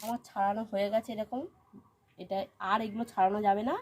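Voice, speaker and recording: A woman's voice: medium pitch, fairly even intonation, fast. A woman from Bengal hosts a village home-cooking video, narrating each step in a plain, instructional way with repeated phrasing. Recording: loud cooking noise, sizzling and stirring.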